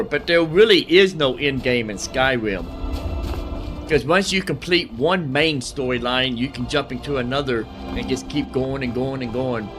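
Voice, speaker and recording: A man's voice: on the high side, bright, forceful tone. A man giving casual commentary on his own video game playthrough, thinking aloud in a rambling way and repeating himself. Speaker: gamer narrating own playthrough.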